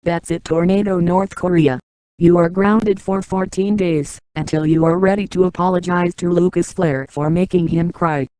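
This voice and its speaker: A woman's voice: fairly low pitch, normal speed, few pauses, dry recording, natural voice, rough recording. A synthetic female voice playing a strict mother who grounds her child. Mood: stern. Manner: stern commanding. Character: strict mother handing out punishment.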